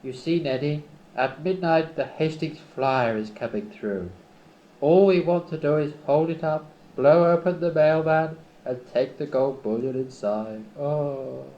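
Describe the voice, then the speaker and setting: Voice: medium pitch, a little slow, muffled recording, slight background noise, natural voice. An amateur performer voicing an oily, wheedling comic schemer in an exaggerated radio-play reading, recorded on old cassette tape. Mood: coaxing, scheming.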